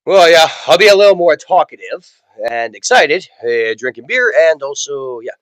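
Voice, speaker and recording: A man chatting on a casual home podcast, his voice raspy and a little worn from a cold he is getting over. He teases his friend dryly, and his pitch moves around a lot. The sound is dry and close. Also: sing-song, moderate pace, a bit bright, no echo, poor audio. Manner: dry teasing, laughs a lot. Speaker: podcast co-host. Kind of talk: casual chat.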